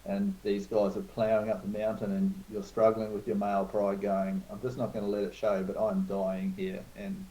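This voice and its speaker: A man in his forties from New Zealand speaks in a low, warm, mellow voice. He tells stories at a relaxed pace, candid and self-deprecating, as a guest in a casual chat. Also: normal speed, hardly any pauses, low-quality recording. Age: 40s. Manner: laid-back storytelling, frank and self-mocking. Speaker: guest, club organizer.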